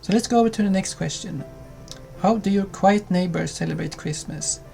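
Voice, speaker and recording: A man in his thirties from Sweden with a Swedish accent, co-hosting a cozy video calmly, with small hesitations. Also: sits high, moderate pace, gravelly, over music. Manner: calm, friendly, with small hesitations. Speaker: co-host of a cottage lifestyle channel. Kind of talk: casual chat to camera.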